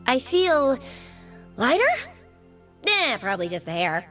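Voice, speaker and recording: A woman's voice: fairly high pitch, very animated, unhurried, a bit bright, soft background music. A woman playing a brash, playful young female troublemaker for a video game, with theatrical, cheeky delivery. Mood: hopeful, reflective. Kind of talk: video game character voice lines.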